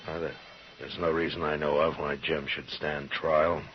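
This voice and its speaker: An actor playing a firm but humane frontier marshal in an old radio western, measured, grave and plainspoken. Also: male voice, rumbling low, flat delivery, slight background noise. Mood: calm, decisive. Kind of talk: old-time radio western acting and narration. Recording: muffled old radio recording.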